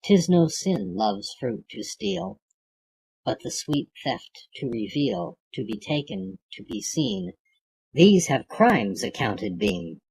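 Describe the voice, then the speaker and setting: A reader of unclear gender reciting classic poetry for an audiobook in a measured, declamatory way. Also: medium pitch, sing-song, vocal fry, darkish tone, breathy edge, rough recording. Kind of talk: reading classic poetry aloud.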